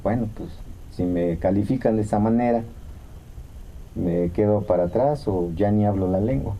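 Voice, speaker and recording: A man's voice: fairly low pitch, moderate pace, dull audio. A man, a radio guest, speaking plainly and hesitantly, often restarting his phrases. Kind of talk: radio interview answer.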